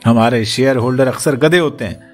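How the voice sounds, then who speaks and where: A man voicing a pushy, flattering, greedy rich businessman in an audiobook, insistent and wheedling. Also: medium pitch, brisk, faint music behind, natural voice. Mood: jovial, insistent. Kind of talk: audiobook character acting.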